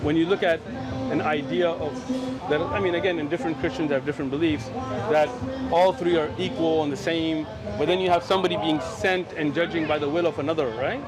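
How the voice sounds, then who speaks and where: A man's voice: a little high, steady tone, a little fast, loud music behind the voice. A young man from Pakistan, raised in San Diego, chatting persuasively about faith with strangers on a city street and often checking agreement with "right?". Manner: friendly, persuasive, frequent "right?" tags. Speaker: street preacher.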